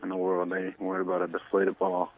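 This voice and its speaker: Over a narrow, tinny telephone line, a man in his twenties talks in a deep, low voice. He is an athlete being interviewed, speaking casually with hesitant phrasing and frequent pauses. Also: monotone. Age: 20s. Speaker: pro football player being interviewed. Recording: tinny, band-limited phone line.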